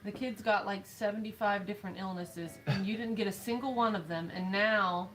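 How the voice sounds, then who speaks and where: A woman's voice: mid-range voice, fairly even intonation, moderate pace, slight background noise, rough recording. A woman in her thirties with a snuffly nose, chatting in a dry, teasing way. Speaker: co-host reading chat. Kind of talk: casual chat. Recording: stream with faint background music. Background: faint background music.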